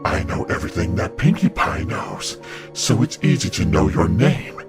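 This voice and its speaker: A man's voice: low voice, very animated, normal speed, music in the background. A man playing an evil ancient winged serpent spirit in a cartoon comic dub, gloating and mocking with theatrical delivery. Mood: gloating, sinister.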